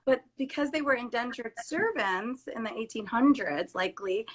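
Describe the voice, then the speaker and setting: A woman's voice: on the high side, expressive, moderate pace, slightly rough. A woman from Trinidad, a guest therapist, talking earnestly and emphatically in a casual conversation on a video call. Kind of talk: casual conversation on a live stream.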